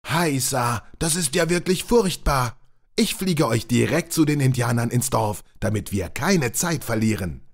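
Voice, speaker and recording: Man as a husky, good-natured Sandman. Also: medium pitch, lively intonation, measured pace. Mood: helpful. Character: sleepy, good-natured Sandman, grumbling but helpful. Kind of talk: children's audio play.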